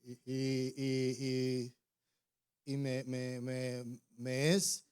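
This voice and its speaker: A man's voice: mid-range voice, monotone, drawn-out words, a little mellow, clear, resonant tone. A middle-aged man and pastor, originally an English speaker, preaching in an informal way with frequent restarts and tag questions. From born in New York, raised in Puerto Rico. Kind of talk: conversational sermon.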